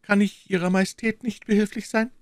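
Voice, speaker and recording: A man voicing a gentle, devoted young maidservant with a soft, sympathetic manner in an audiobook reading. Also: high-pitched, steady tone, a little fast, clean tone, dry recording, clean studio sound, pitched above the performer's own voice, close to an everyday voice.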